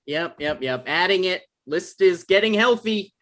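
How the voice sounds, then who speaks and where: A man's voice: high voice, expressive, a bit bright. Man playing an awkward, hesitant young guy. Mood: sarcastic, exasperated. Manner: hesitant, halting, dry. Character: awkward, apologetic young man, sarcastic when cornered. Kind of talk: table read of a comedy script.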